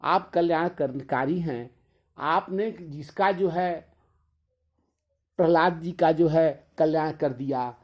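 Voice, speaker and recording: A man acts out a reverent young mother from a devotional tale, beseeching and distressed. The words come very slowly, in halting appeals. The recording sounds dull and lo-fi. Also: a little high. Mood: pleading, reverent.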